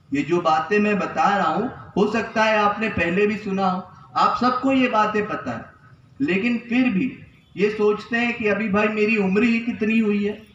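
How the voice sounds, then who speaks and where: High-voiced man preaching in an echoey hall. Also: fairly bright tone, slight background noise. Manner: earnest, repetitive teaching with rhetorical questions. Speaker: spiritual preacher. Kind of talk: Hindi religious discourse. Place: temple hall. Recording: echoey, distant, dull lo-fi sound.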